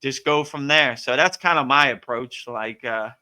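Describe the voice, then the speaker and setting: A man hosting a live spiritual question-and-answer session, talking in a relaxed, rambling way with many fillers. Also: mid-range voice, moderate pace. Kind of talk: casual spiritual Q&A.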